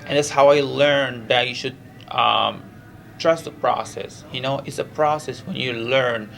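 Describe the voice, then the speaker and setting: Gravelly Moroccan-accented male fighter. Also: mid-range voice, steady tone, unhurried, a bit bright, soft background music. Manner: earnest, repetitive, non-native English with frequent 'you know'. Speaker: professional MMA fighter. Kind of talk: press interview, casual chat.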